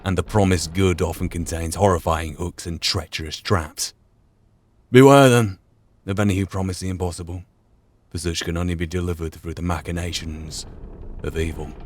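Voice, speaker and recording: A man giving measured, reflective commentary and reading aloud during a video game playthrough. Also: deep voice, steady tone, slight background noise. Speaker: gaming channel player.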